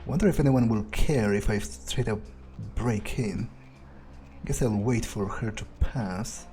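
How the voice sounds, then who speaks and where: A man's voice: lowish voice, unhurried, warm tone, soft background music. A man giving casual in-character gameplay commentary in a dry, understated, musing way. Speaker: gamer playing in character.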